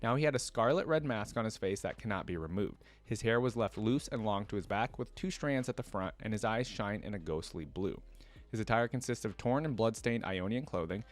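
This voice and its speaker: A man's voice: on the low side, animated, fairly quick. A man giving casual reaction commentary as a channel host, chatting and reading aloud.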